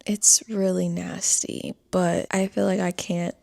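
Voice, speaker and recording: A woman's voice: lowish voice, flat delivery, slow pace, vocal fry, darkish tone. A young woman vlogging in a casual chatty way, a bit stuffy-nosed, sometimes dropping to a whisper. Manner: chatty, often drops to a whisper. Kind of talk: casual vlog chat.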